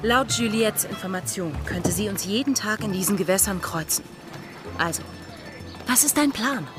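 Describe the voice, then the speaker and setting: An actress playing a commanding, impatient young female pirate in an audio drama, speaking with sharp insistence. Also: medium pitch, lively intonation, moderate pace, music in the background, close to an everyday voice. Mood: urgent, insistent.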